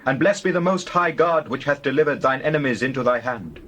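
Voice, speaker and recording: A man's voice: mid-range voice, a little fast, a bit bright, a little hiss or hum, lightly acted. An actor playing a solemn, reverent male priest-king in a dramatized scripture reading. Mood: solemn blessing.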